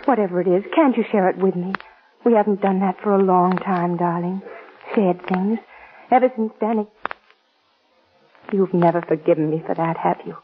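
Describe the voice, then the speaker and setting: An actress playing a possessive, jealous young wife in an intense, melodramatic 1940s radio drama style. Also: female voice, medium pitch, natural voice. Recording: muffled, scratchy 1940s radio broadcast.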